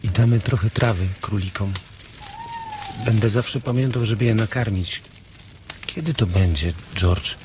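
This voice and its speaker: An actor in a radio drama playing a simple-minded, eager and devoted ranch hand with a childlike, pleading manner. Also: male voice, fairly low pitch, measured pace, long pauses, close to an everyday voice. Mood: childlike delight. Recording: noisy ambience behind the voice.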